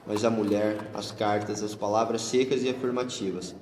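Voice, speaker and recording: Brazilian male narrator for radio drama, lowish pitch, reverberant room sound, scripted delivery. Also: little pitch movement, normal speed, darkish tone, slight background noise. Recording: echoey hall, long reverb, music bed. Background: music.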